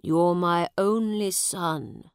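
A woman putting on an ailing old king, his voice low, gravelly and unhurried. He speaks gently and wearily from his sickbed in a storybook tale, heard in a dry, close recording. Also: no room sound. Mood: weary. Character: old, sick, sad English king. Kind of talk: storybook reading.

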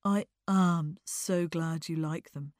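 A woman acts out a sorrowful young man for a storybook. He is precious and anxious, stretching his words in a slow, plaintive way. The recording is dry and close. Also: low-pitched, fairly even intonation, darkish tone, no room sound. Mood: wistful.

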